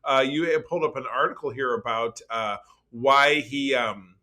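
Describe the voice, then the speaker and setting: Male podcast host, forceful bright voice, emphatic and opinionated, rambles conversationally. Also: mid-range voice, normal speed. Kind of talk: casual conversational podcast talk.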